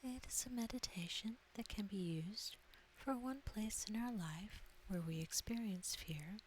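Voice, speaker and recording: A woman softly guiding an ASMR meditation in a breathy near-whisper, gentle, soothing and deliberate. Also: mid-range voice, low-quality recording. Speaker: guided meditation host. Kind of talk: soft-spoken ASMR guided meditation. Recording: faint background hiss.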